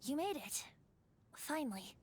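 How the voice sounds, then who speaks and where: A voice actor playing a carefree young bard who is a wind spirit, light and playful, sometimes telling tales in rhymed verse. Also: male voice, extremely high pitch, very animated, measured pace, full character voice. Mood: amused. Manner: light and playful, breaks into sing-song rhyming verse. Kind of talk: game dialogue and rhymed storytelling. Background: soft game music.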